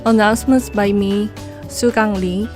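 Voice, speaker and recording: A woman's voice: mid-range voice, steady tone, a little slow. A woman reading scripted podcast credits as an announcer, with guitar music behind her.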